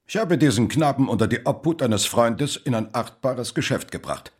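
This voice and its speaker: Very husky, cold, haughty stepfather. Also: mid-range voice, animated, normal speed, a little mellow. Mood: cold, contemptuous. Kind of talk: German radio drama acting.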